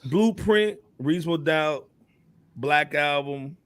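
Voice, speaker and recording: A man's voice: a little high, takes it slowly, clear, resonant tone, dry recording. An American man hosting a casual hip hop discussion, speaking in slang with emphatic repetition. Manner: slangy, emphatic, repeats himself. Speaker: podcast host.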